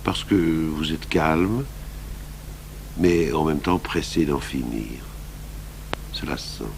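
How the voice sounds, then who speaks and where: Very deep, gravelly police commissioner. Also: male voice, full character voice. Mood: calm, probing. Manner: measured, deliberate, probing. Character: calm, shrewd middle-aged male police commissioner, patient and gruff. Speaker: lead detective and first-person narrator. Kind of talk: 1980s French radio crime drama acting. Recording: old radio broadcast recording, faint hum and hiss.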